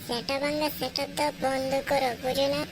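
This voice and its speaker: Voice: high-pitched, little pitch movement, moderate pace. A performer voicing a cheeky, argumentative young boy who scolds his elder in a comedy cartoon. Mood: scolding, accusing. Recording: clearly noisy background.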